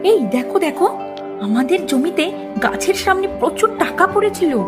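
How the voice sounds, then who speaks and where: A woman playing the nagging, worried wife of a poor village farmer in a cartoon, expressive and quick to scold. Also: very high-pitched. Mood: amazed. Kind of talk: cartoon character dialogue. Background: loud music.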